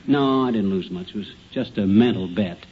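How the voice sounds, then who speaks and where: An actor playing a boastful, folksy middle-aged American husband, slangy and blustery, in a radio comedy. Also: male voice, on the low side, moderate pace, close to an everyday voice. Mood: sheepish. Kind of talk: 1950 radio comedy acting. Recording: noisy muffled vintage radio recording.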